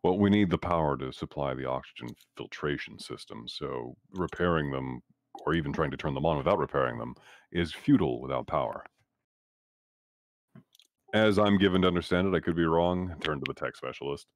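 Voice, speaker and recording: A man's voice: very deep voice, brisk, no echo. A man playing a tabletop game, talking through plans in a wordy, reasoned way. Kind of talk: casual in-game discussion.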